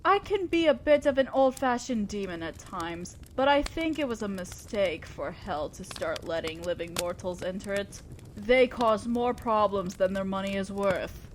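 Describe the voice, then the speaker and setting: A woman's voice: medium pitch, animated, slight background noise, natural voice. A woman playing an imperious, old-fashioned female demon lord in an audio roleplay, speaking formally and with condescending concern to the listener. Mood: irritated, disdainful. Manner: commanding, formal, measured, condescending yet concerned. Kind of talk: scripted roleplay monologue addressed to the listener.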